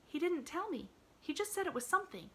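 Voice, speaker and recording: A woman's voice: sing-song, fairly quick, sharp tone, exaggerated voice. A woman doing a sweet, eager little girl. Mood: earnest. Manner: eager, high and earnest. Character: cheerful, earnest little girl, loyal and sweet.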